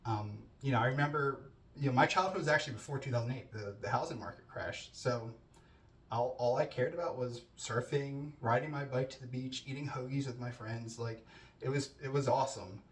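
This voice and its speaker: Thirties man, relaxed chatty self-intro, faint room hiss. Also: medium pitch, fairly quick. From New Jersey, US. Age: 30s. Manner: conversational with frequent fillers and restarts. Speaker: student introducing himself. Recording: roomy, distant mic with faint ambience.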